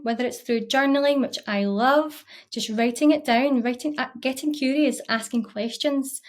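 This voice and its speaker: A woman in her thirties with a Scottish accent, talking to camera as a mindset coach in an earnest, encouraging way. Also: on the high side. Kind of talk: casual talk to camera.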